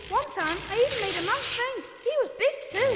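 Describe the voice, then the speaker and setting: A woman's voice: very high voice, animated, a little fast. A woman voicing a mischievous, childlike little prankster in a video game, playful and teasing. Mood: boastful. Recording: echoey, distant, loud ambience noise.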